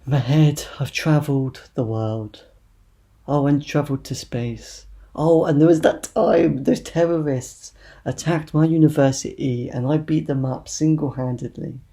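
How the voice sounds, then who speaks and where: A young man giving a spoken-word poetry reading in a confessional, expressive way. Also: a little high, a little slow, creaky. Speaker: poet.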